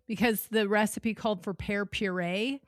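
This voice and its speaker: A woman's voice: mid-range voice, steady tone, moderate pace, dry recording, clean studio sound. A woman co-hosting a podcast, chatting casually in a matter-of-fact way. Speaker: podcast co-host. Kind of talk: casual chat.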